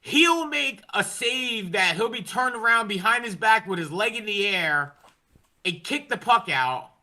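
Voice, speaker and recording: A man's voice: high-pitched, animated, a little slow, bright, forceful tone. A man hosting a casual sports podcast, ranting in an exasperated way and swearing heavily. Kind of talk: casual hockey fan chat.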